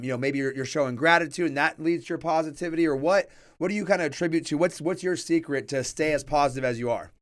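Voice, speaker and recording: A man hosting a company podcast, asking long, rambling questions in a friendly, casual way. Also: a little high. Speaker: podcast host. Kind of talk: casual interview chat.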